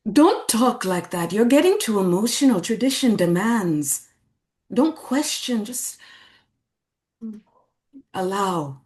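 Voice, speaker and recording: An actress playing a firm, traditional village woman in a staged drama reading, blunt and deliberate with her old friend. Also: mid-range voice, big pitch swings, a little mellow. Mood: firm, insistent. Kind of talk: staged script read of a drama.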